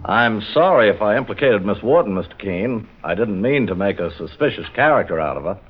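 An actor playing a polite, measured, obliging motorist in an old-time radio drama. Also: male voice, lowish voice, animated, over music, close to an everyday voice. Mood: apologetic. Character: polite, smooth-talking stranded male motorist, helpful suspect. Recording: dull vintage radio recording.

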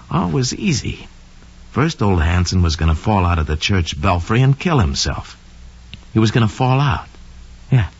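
An actor playing a smug, scheming young murderer who confesses coldly and boastfully in an old radio drama monologue. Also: male voice, very deep voice, breathy edge, full character voice. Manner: sly, cold, boastful, slangy. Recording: dull lo-fi old radio recording.

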